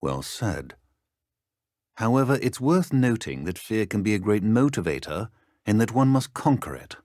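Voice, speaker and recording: An actor playing a stern middle-aged British wizard professor in a video game, speaking in a measured, dry, formal way. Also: male voice, fairly low pitch, sing-song, darkish tone, no echo. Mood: measured, wry.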